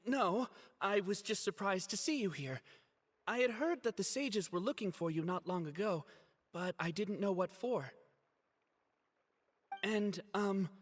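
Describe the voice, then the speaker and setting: A man's voice: high voice, fairly bright tone, big-room sound, exaggerated voice. An actor playing a proud, easily flustered young male student scholar in a video game, hesitant, earnest and defensive. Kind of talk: dramatic game character acting.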